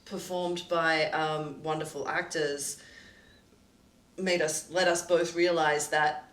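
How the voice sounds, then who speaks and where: A woman's voice: low voice, flat delivery, takes it slowly, fairly bright tone. A woman co-producer reflecting thoughtfully on the making of a radio play in casual roundtable chat. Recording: echoey room, distant mic, faint noise.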